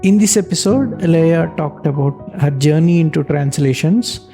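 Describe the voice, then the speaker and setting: A male podcast host reads his guest's introduction carefully and pauses now and then. His voice is slightly high for a man, but dark and mellow with a gravelly edge. Faint instrumental music sits beneath it. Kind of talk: scripted introduction and interview questions.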